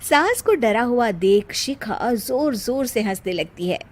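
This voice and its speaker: A woman telling a children's cartoon story in a calm, plain way. Her voice is high and lively, and she keeps a slow, unhurried pace. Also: background noise. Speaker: narrator. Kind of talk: storytelling narration.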